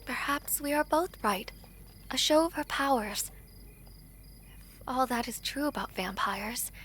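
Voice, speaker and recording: Woman acting a high-voiced, gentle young princess. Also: a little slow, breathy edge, heavily acted. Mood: wistful, thoughtful. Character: gentle, well-mannered foreign princess, young and wistful. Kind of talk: fantasy audio drama acting.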